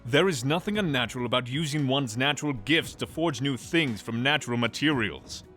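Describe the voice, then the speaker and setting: A man's voice: medium pitch, lively intonation, brisk, fairly bright tone, faint music behind, natural voice. A man voicing a proud, stubborn god of the forge in a fantasy audio drama, with formal, emphatic delivery. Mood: indignant. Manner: indignant, emphatic, formal diction.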